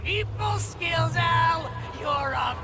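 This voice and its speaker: An actor playing a brash, needling, sarcastic imaginary best friend and agent in a video game, in a theatrical way. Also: male voice, very high voice, animated, extremely slow, creaky, full character voice. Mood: manic mockery. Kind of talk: video game character acting. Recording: loud music over the voice.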